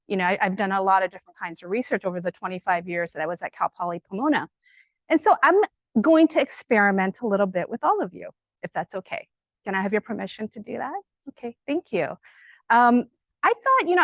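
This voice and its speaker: A middle-aged woman with a loud voice, giving a lively, conversational academic talk to an audience, full of fillers and enthusiasm. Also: medium pitch, no room sound. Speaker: guest speaker at an academic colloquium.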